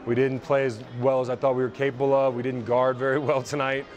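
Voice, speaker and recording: A man in his thirties, a head coach giving a measured, analytical post-game interview on a lapel mic. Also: medium pitch, little pitch movement, muffled recording. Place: indoor arena interview backdrop.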